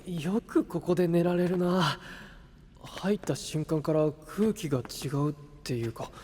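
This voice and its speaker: A man's voice: fairly high pitch, a little slow, a little mellow, slight background noise, close to an everyday voice. A man playing an earnest, eager young hero in an anime-style audio drama, direct and boyish.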